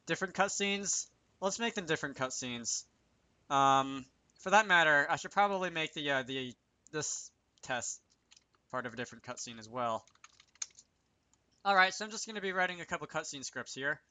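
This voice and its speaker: A man's voice: fairly high pitch, expressive, moderate pace, sharp tone, dry recording. A man hosting a casual programming tutorial, thinking aloud, muttering to himself and correcting himself as he works, close to a desk microphone. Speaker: host of a game programming tutorial.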